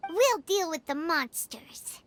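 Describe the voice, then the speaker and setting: Squeaky, very high childlike fairy companion. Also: female voice, expressive, slow, a little hiss or hum, full character voice. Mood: worried. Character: small childlike fairy companion, chirpy and caring. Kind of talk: dubbed video game dialogue.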